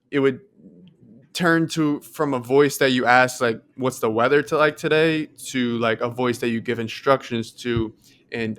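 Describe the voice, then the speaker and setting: A man's voice: mid-range voice, fairly bright tone, smooth. A man co-hosting a podcast, talking casually and conversationally as he shares his opinions. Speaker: podcast co-host. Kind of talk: casual tech discussion.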